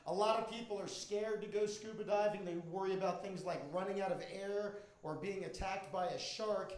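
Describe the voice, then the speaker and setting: Stand-up comic, male, middle-aged, American, very high-pitched, roomy club sound with audience. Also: steady tone, moderate pace. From Miami, Florida, United States. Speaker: stand-up comedian and magician. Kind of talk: stand-up comedy routine. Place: indoor comedy club stage. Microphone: handheld microphone. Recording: echoey comedy club with audience. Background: live audience.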